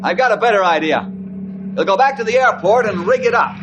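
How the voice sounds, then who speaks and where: An actor playing a confident, practical ranger who leads rescues in an old-time radio adventure, brisk and hearty. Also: male voice, sits high, lively intonation, muffled recording. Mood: confident, decisive. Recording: noisy old radio recording.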